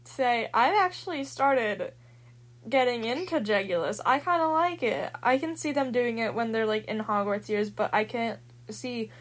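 A woman with a somewhat high voice hosts a casual podcast. She introduces segments and gives her opinions in an upbeat, easygoing way. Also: fairly bright tone. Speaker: podcast host.

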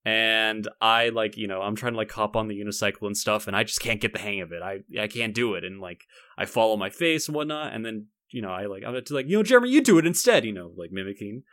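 A young man co-hosting a podcast, reminiscing casually in a halting, rambling way with frequent repeated words. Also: on the low side, steady tone, sharp tone, no room sound, clean studio sound. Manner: halting, repetitive, rambling delivery. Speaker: podcast co-host.

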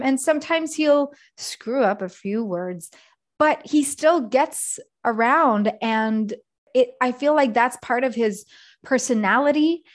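A woman hosting a podcast, thoughtful and affirming, building on her guest's ideas. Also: on the high side. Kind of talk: conversational podcast interview.

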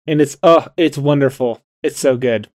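A man of average pitch speaks in a casual, conversational way, as a co-host on a podcast. His voice is recorded close, with hardly any room sound. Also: normal speed, darkish tone, no room sound.

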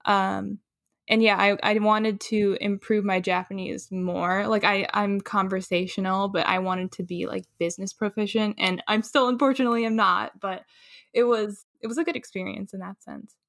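A woman's voice: medium pitch, steady tone, normal speed, gravelly, a bit bright, no room sound, studio quality. A young adult woman guest on a podcast, talking casually and rambling with many filler words. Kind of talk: casual conversation.

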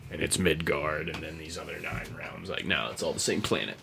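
A man hosting a podcast, explaining video game lore in a casual, rambling, sweary way, with a gravelly, husky voice. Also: a bass voice, a little fast, bright, forceful tone, a little hiss or hum. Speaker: podcast host.

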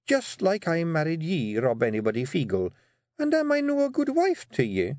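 A man voicing a quietly dangerous young fairy queen and bossy wife with a Scottish accent, deceptively sweet and controlled, in an audiobook. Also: on the high side, wildly swooping pitch, normal speed, no echo, clean studio sound, voice pushed up. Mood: dangerously sweet. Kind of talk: audiobook character voice.

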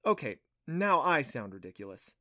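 A man voicing a bold, quick-witted young female adventurer with a brisk, challenging, certain manner in a fantasy story. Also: medium pitch, big pitch swings, bright, forceful tone, no echo, studio quality. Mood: skeptical.

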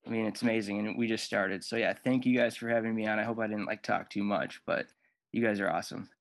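A man's voice: lowish voice, fairly even intonation, fast talker, creaky. A man speaking casually as a guest on a podcast. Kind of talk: casual chat.

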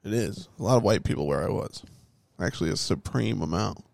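A man's voice: lowish voice, expressive, fairly quick, creaky, darkish tone, no room sound. A young man hosting a podcast in casual banter, teasing and swearing freely. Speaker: podcast host.

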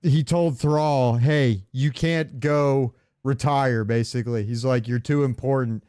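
A man co-hosting a podcast in casual chat, talking like an animated, argumentative fan. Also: mid-range voice, unhurried, smooth, no room sound, clean studio sound. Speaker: podcast co-host. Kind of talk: casual chat about game cinematics.

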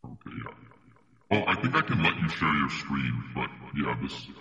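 A man's voice: very deep voice, sing-song, moderate pace, sharp tone, roomy sound, big-room sound, dull audio. A man chatting casually and jokily on a video call with friends. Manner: jokey, self-deprecating.

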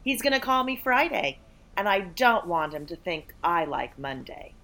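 A woman's voice: fairly low pitch, sing-song, moderate pace, pushed and bright, full character voice. A woman playing an aloof, blunt saleswoman in a comedic audio play, with a dry, dismissive manner. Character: aloof, blunt, sardonic female office saleswoman named Tuesday.

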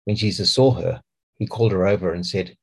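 Husky New Zealand man reading scripture. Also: sits low, fairly quick, darkish tone, low-quality recording. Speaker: church speaker.

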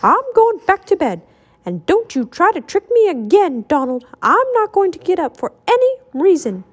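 Woman as a storybook male mouse, raised pitch, stern, skeptical, grumpy, scolding. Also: big pitch swings, measured pace, dark tone. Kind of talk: children's storybook character acting.

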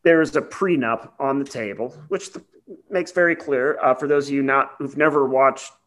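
Man, podcast co-host, medium pitch, casual legal explainer, conversational, wry. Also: moderate pace, fairly bright tone.

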